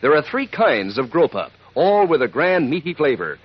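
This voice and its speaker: Lively, husky American radio pitchman. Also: normal speed. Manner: peppy, folksy, emphatic. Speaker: commercial announcer. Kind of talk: 1940s radio advertising pitch to children. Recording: dull lo-fi 1940s radio recording.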